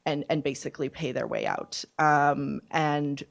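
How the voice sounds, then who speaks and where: A woman in her twenties giving personal testimony at a panel discussion, speaking in a halting, deliberate, reflective way. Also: low voice, vocal fry. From born in Serbia, raised from infancy in Canada. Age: 20s. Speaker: panelist, refugee survivor. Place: conference event, speaking on a panel.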